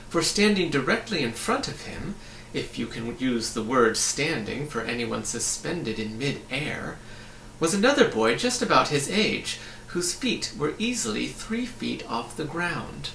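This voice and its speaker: A man reading a children's story aloud in an expressive narrating style. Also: fairly high pitch, vocal fry. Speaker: audiobook reader. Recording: roomy, slightly distant, faint hiss.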